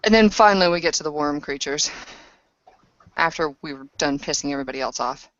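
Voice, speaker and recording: A woman's voice: low-pitched, lively intonation, brisk, fairly bright tone, no echo. A woman speaking conversationally in character as a player in a tabletop roleplaying session. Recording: muffled, dull lo-fi audio.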